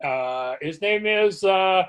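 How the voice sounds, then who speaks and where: Halting high-voiced man hosting. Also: animated, smooth. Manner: halting, stumbles over names, friendly. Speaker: podcast host. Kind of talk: casual interview intro.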